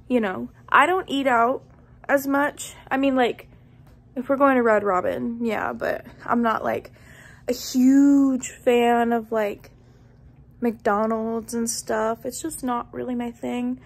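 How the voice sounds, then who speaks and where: A woman's voice: fairly high pitch, steady tone, unhurried. A woman in her twenties with an American accent, chatting casually to her vlog camera at home. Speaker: vlogger. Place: indoors at home, living room and kitchen. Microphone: phone or camera at arm's length. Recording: noticeable room noise from handheld camera.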